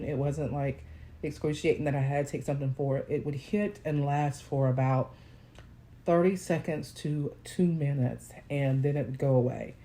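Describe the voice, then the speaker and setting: A woman with a naturally deep voice, hoarse while ill, telling a casual, rambling personal story and correcting herself as she goes. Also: warm tone, slight background noise. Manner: rambling, self-correcting, conversational. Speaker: vlogger.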